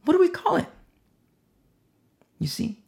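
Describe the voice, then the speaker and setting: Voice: high-pitched, sing-song, unhurried, vocal fry, a little mellow. A preacher delivering a sermon, with rhetorical questions and a repeated "you see?".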